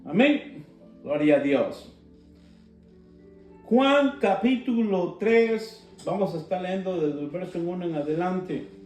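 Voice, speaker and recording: A man preaching a sermon. He speaks with slow emphasis and breaks into fervent shouted calls. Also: high-pitched, lively intonation. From Guatemala. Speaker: pastor. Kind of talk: live-streamed sermon. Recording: roomy sound, mic at a distance, soft guitar.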